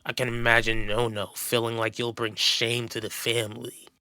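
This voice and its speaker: Man playing bitter son, bright dry voice. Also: mid-range voice, little pitch movement, moderate pace, dry recording. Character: bitter, resentful adult son confronting his father. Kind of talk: animated soap opera acting.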